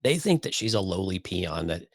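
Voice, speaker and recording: Male co-host, lower-pitched, dry close sound, conversational and opinionated with a wry, incredulous edge. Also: sing-song, moderate pace, creaky, dry recording. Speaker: podcast co-host. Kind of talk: casual opinionated chat.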